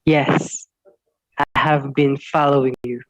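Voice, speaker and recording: A woman playing a young ant learning the trail, speaking in measured, deliberate phrases in a dramatic reading. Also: very deep voice, fairly even intonation, rough recording. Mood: earnest. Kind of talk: dramatic reading of a stage play.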